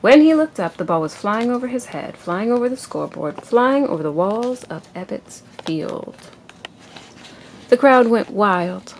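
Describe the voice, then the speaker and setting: A woman reading a picture book aloud to a child at home and asking instructive questions in a deliberate way. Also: mid-range voice, expressive, slight background noise. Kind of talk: expressive read-aloud and gentle questioning.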